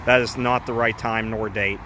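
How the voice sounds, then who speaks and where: A man's voice: on the low side, steady tone, normal speed. A man walking around an empty parking lot, chatting casually as he films on a phone. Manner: chatty, amused, frequent laughs and asides. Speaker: urban exploration vlogger. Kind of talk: casual walk-and-talk narration. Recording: phone video outdoors with traffic noise. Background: traffic and outdoor ambience.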